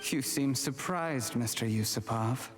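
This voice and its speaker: An actor playing a cold, calculating, ambitious official who speaks with measured, smug menace in a dramatic video game. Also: male voice, medium pitch, close to an everyday voice. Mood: bitter, defiant. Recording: game dialogue under loud music.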